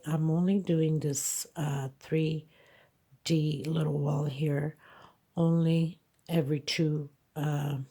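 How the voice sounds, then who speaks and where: A woman's voice: low-pitched, creaky, mellow, breathy edge. A congested woman teaching a crochet tutorial, counting stitches aloud and checking in with frequent okays. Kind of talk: hands-on tutorial narration.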